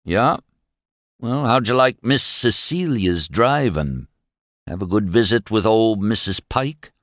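A rough hired hand, as a male narrator plays him, drawling and insolent, with a low voice that rises into mocking swings. Dry and close to the mic. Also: lively intonation, slow pace, no room sound. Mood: derisive. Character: surly rough hired man, derisive.

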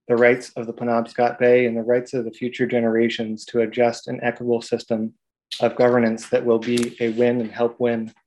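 A young man giving a prepared public comment at a council hearing, earnest and measured. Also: medium pitch, darkish tone, rough recording. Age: young adult.

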